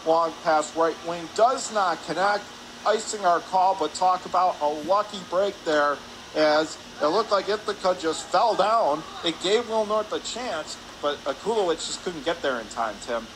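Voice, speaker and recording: A high-voiced man does live radio play-by-play of a hockey game. His delivery is excitable and climbs whenever there is a shot or save. Clear arena noise runs behind him. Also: a little slow. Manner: excitable, voice rising on shots and saves. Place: indoor ice hockey arena. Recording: noisy rink ambience behind the voice.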